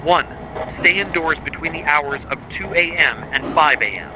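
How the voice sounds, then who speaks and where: A man reading a scripted horror narration styled as an official emergency bulletin, in a grave, measured, authoritative way. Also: on the high side, lively intonation, background noise. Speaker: narrator of a mock emergency broadcast.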